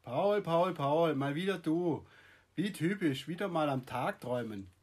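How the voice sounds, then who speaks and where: A man playing a sarcastic male maths teacher in a children's radio play, with a husky voice. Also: a little high, lively intonation, measured pace, a little hiss or hum. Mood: mocking. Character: sneering male maths teacher scolding a daydreamer.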